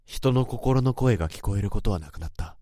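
A man's voice: fairly low pitch, lively intonation, fairly quick, dark tone, no echo, clean studio sound. A man playing a remorseful office worker who hears others' thoughts, narrating his story in an introspective, self-questioning way. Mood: reflective. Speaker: narrator and lead character. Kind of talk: radio drama acting and narration.

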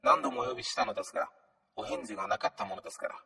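A man playing a polite, calm AI smart speaker in a radio drama, speaking in formal, mechanical announcements with robotic processing. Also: medium pitch, racing, recorded from a distance. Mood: polite, contrite.

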